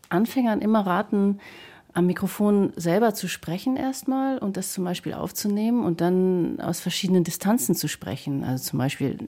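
A woman with a husky voice giving practical advice in an interview, as a director of actors. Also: lowish voice, warm tone. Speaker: radio drama director working with actors.

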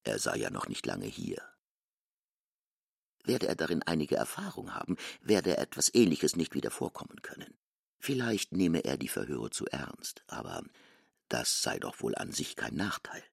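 A man with a gravelly, husky voice giving an expressive, articulate scripted narration for a literary radio drama. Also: very deep voice, moderate pace, no room sound, studio quality.

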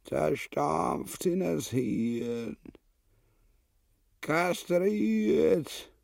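Bloated tyrant buffoon, Austrian dialect, cruel and cowardly, pompous, very slow delivery, wildly varying pitch, grotesque theatre, echoing hall. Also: male voice, vocal fry, darkish tone, full character voice. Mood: savage, commanding. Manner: pompous, bellowing and muttering, coarse. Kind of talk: grotesque stage comedy acting. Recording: echoey hall with long reverb.

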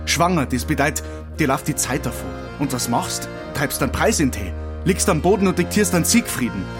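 A man's voice: fairly high pitch, animated, brisk, music in the background, close to an everyday voice. A man playing a bitter, wounded lover in a radio drama, speaking with a Bavarian accent in a sardonic, rhetorical way. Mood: scornful, angry. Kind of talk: radio drama acting in dialect.

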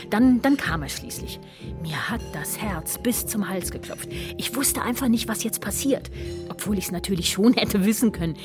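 A woman's voice: mid-range voice, natural voice. An actress playing a candid, self-conscious woman confiding wistfully in a naturalistic radio drama. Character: embarrassed, candid woman confiding a romantic disappointment. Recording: prominent music underneath.

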